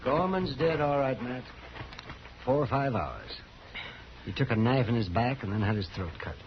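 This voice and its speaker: A man's voice: medium pitch, animated, normal speed, close to an everyday voice. An American man playing a crotchety, wry older town doctor with a grumbling, sardonic manner in a 1950s radio western. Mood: brisk, matter-of-fact. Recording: dull, noisy 1950s radio recording.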